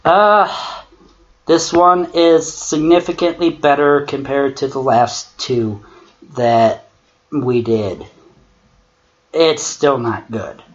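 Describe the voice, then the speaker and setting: Male critic, sarcastic and sweary, unhurried delivery, dull lo-fi mic. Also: medium pitch. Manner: sarcastic, profane. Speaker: commentator. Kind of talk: critical commentary on amateur horror fiction. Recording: muffled lo-fi mic with clear noise.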